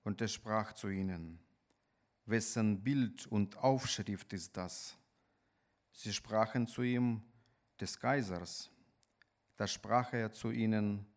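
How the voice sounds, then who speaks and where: A male preacher with a Ukrainian accent speaks at a very slow pace in a fairly low voice. He delivers his sermon deliberately, often pausing partway through a sentence. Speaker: guest preacher. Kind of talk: sermon with scripture reading.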